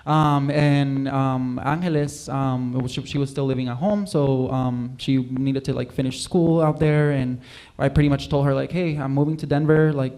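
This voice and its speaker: Young guy from puerto rico, mellow voice, rambles w lots of likes and ums. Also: medium pitch, fairly even intonation, normal speed, few pauses, clear, resonant tone. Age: young adult. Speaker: musical guest. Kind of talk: casual interview chat.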